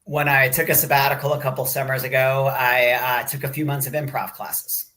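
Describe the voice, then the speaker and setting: A man's voice: mid-range voice, flat delivery, brisk, bright, forceful tone. A man speaking as a guest on a business panel, thoughtful, with small stumbles as he answers. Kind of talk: casual panel discussion.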